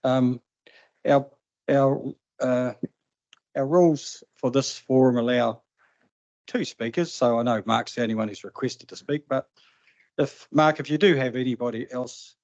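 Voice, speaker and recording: A man's voice: medium pitch, a little slow, long pauses, darkish tone, no room sound. A New Zealand man chairing a council committee meeting in a plain, informal way. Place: council chamber. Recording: slightly dull, dry council meeting recording.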